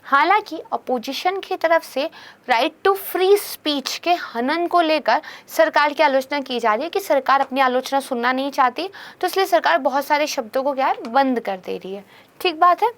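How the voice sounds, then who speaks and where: A young woman teaching an exam-prep lecture, a little hoarse, explaining steadily and checking in with "right?" and "theek hai". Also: high voice, expressive, moderate pace, fairly bright tone. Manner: explanatory, peppers speech with English terms and "theek hai". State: hoarse from a sore throat. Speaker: current affairs teacher.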